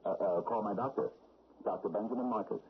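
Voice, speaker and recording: A man's voice: on the low side, a little fast, close to an everyday voice. An actor playing a timid, nervous city man who stammers and hesitates with many fillers in a 1970s radio drama. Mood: evasive. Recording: tinny lo-fi old radio broadcast with faint hiss.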